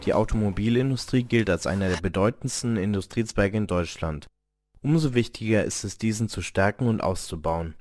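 A man's voice: lowish voice, brisk, darkish tone, no echo, clean studio sound, soft background music. A man narrating a scripted radio report as a reporter.

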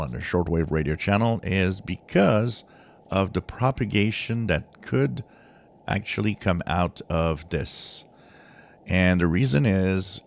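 A man hosting a hobby channel, giving informal explanatory commentary with halting, drawn-out phrasing. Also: low-pitched, gravelly. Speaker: radio hobby channel host. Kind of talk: informal explanatory commentary over a screen share.

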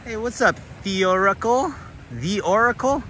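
Higher-voiced man livestreaming outdoors over clear noise. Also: lively intonation, moderate pace, pushed and bright. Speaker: livestream host. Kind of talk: casual livestream chat, greeting viewers. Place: outdoors, walking along a beach. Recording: noisy outdoor ambience, breezy.

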